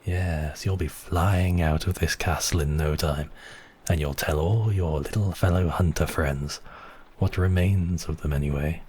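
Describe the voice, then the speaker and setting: A man acting as a suave, obsessive, mocking vampire count in an intimate ASMR roleplay, with silky, teasing, drawn-out phrasing. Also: rumbling low, a little mellow, breathy edge, heavily acted. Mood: sardonic.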